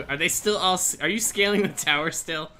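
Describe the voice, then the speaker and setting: A man gives casual commentary on the game he is playing. His voice is very bright and forceful, sitting a little high for a man. He reacts in an excitable, nervous way. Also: normal speed, slight background noise. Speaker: video game player.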